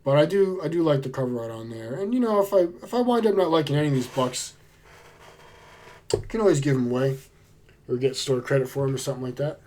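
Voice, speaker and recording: A man getting over a cold, with a slightly hoarse voice, chatting casually and with enthusiasm as he shows off his book haul. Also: mid-range voice, lively intonation, fast talker, warm tone. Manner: enthusiastic, chatty, laid-back. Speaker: book vlogger.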